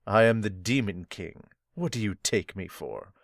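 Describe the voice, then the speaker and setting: A man voicing a cold, menacing giant demon king from a manga, speaking low and threatening. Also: animated, moderate pace, creaky, no room sound, studio quality.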